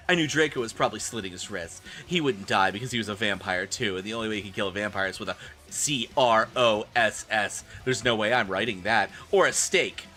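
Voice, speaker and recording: A man doing comedic live-stream commentary and dramatic reading in an animated, theatrical, profane manner. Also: fairly low pitch.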